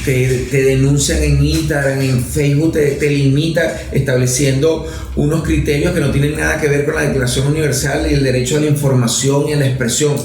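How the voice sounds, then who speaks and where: A man's voice: fairly high pitch, little pitch movement, fairly quick, a little mellow, a little hiss or hum. A man with a Venezuelan accent, a lawmaker being interviewed, explaining his ideas in a professorial, analytical way and using everyday examples. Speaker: lawmaker guest. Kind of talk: interview conversation. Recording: very echoey room.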